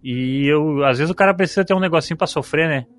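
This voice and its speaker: A man's voice: a little high, quick pace, faint music behind. A man, co-hosting a podcast in loose, joking casual chat and swearing freely. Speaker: podcast co-host.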